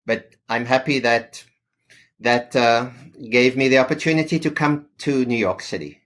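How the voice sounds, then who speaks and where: Middle-aged man, measured and hesitant, interview guest. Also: mid-range voice, fairly bright tone. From South Africa. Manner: thoughtful, hesitant with fillers. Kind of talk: podcast interview.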